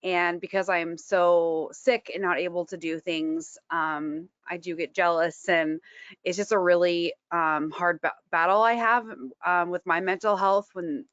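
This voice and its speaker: A woman's voice: fairly low pitch, a bit bright, clear, resonant tone, dry recording, highs cut off. A woman in her thirties from Washington State, talking frankly on a panel and laughing easily between fillers. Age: 30s. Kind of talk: candid panel talk.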